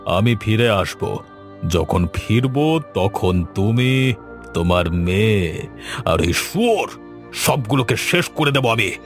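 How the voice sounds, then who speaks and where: A man's voice: lowish voice, animated, slow, long pauses, faint music behind, voice pushed down. A man voicing a vengeful, defiant cartoon villain who speaks in menacing threats. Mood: vengeful menace.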